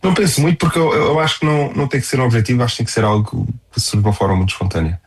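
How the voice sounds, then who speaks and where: A man's voice: mid-range voice, animated, racing, slightly rough. A young man with a European Portuguese accent, chatting casually as a singer-songwriter in an interview.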